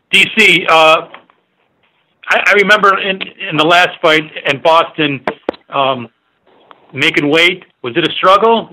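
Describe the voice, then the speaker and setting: A man working as a sports reporter, asking halting, rambling and informal questions on a media conference call. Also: a little high, bright, forceful tone, a little hiss or hum, poor audio.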